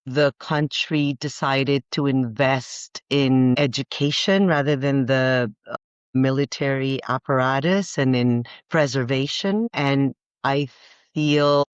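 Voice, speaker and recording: A woman's voice: extremely low pitch, steady tone, takes it slowly, smooth, no room sound, muffled recording. A woman, speaking as an architect guest in a thoughtful, earnest way. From Costa Rica. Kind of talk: reflective interview talk.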